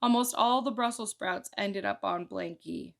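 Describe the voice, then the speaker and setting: A woman reading a picture book aloud to children in an expressive way, pausing to ask them simple questions. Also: mid-range voice, creaky. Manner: expressive, child-directed delivery. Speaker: children's storytime reader, mother.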